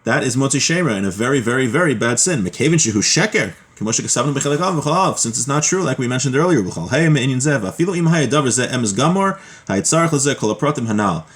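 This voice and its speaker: A man with a medium-pitched voice teaches a religious text class. He reads passages aloud and then explains them. His delivery is halting, with repeated words and restarts as he works through the material. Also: expressive.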